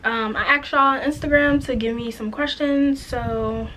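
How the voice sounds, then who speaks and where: Chatty raspy young woman vlogging. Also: high voice, fairly even intonation, normal speed, pushed and bright, slight background noise, low-quality recording. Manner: chatty, frank, many "like" and "um" fillers. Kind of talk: casual story-time vlog. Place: indoors, plain light wall, small room. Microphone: camera in front, head and shoulders.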